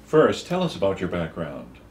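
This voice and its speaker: A man's voice: low-pitched, wildly swooping pitch, moderate pace, a little hiss or hum. A man acting as interviewer, introducing his guest and asking brief, formal questions.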